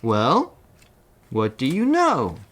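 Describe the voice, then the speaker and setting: A man's voice: medium pitch, huge pitch swings, drawn-out words, fairly bright tone, heavily acted. A man voicing a big, apologetic, easily frightened elephant in a children's storybook. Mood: amazed, amused.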